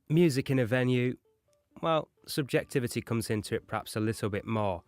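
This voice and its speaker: A man's voice: on the low side, no echo. A man narrating an explanatory lesson as an audio engineering instructor.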